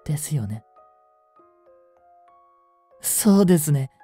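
A man performs a devoted male android servant in a romantic audio drama. He speaks slowly and formally, with a soft mellow tone and breathy, halting phrasing, as one overcome by feverish love. The sound is dry, with faint music behind him. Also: on the high side, very animated, no echo, exaggerated voice. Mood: devoted, teasing.

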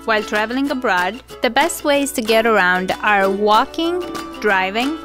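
A woman's voice: fairly high pitch, lively intonation, moderate pace, over music. A woman reading scripted instructional narration.

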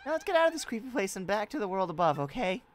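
Woman doing a perky, lively young sidekick. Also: medium pitch, racing, close to an everyday voice. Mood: perky, uneasy. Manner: explanatory, expressive.

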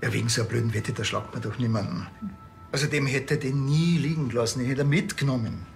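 An actor playing a dry, sceptical small-town police detective with a Bavarian accent and a deadpan, colloquial delivery. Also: male voice, medium pitch, fast, creaky, faint music behind, lightly acted.

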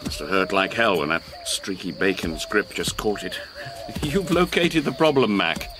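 An actor playing a genial, confident gentleman racehorse trainer in a radio drama, speaking with well-mannered authority. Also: sits low, expressive, measured pace, background noise. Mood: thoughtful, keen.

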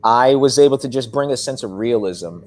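A young man, an actor, answering interview questions on a video call from his living room couch, animated with lots of fillers. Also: mid-range voice, a little fast. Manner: animated, gestures a lot, frequent fillers. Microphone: webcam on video call.

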